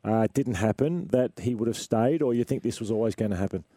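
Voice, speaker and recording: A man with an Australian accent co-hosting sports radio, hoarse from a lost voice, talking in a laid-back halting way. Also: fairly low pitch, dark tone, no echo. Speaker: radio co-host, former footballer. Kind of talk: casual sports chat.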